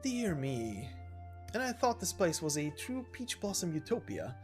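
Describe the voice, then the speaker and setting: A man voicing a polite, old-fashioned, fretful but genial gentleman traveler from a game story, speaking in formal, theatrical phrases. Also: a little high, big pitch swings, unhurried, soft background music. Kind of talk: video game story voice acting.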